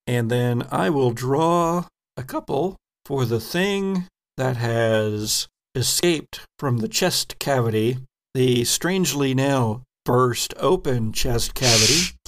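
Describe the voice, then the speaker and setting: A man hosting as game master, narrating a tabletop horror role-playing game in a dramatic storytelling manner. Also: mid-range voice. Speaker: game master, podcast host.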